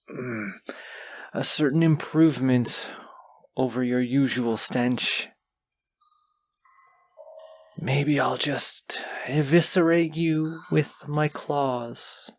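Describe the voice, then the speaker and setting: A haughty wounded dragon, acted by a man, from a fantasy audio roleplay, guarding its hoard with pompous menace. The delivery is extremely slow and deliberate, lingering on threats at a medium male pitch. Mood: musing, menacing.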